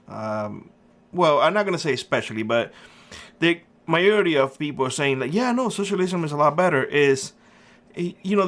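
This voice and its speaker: A man's voice: fairly high pitch, a bit bright. A middle-aged man from Cuba hosting a prepper channel, talking earnestly and emphatically in a casual monologue with frequent fillers. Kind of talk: casual opinion monologue to camera.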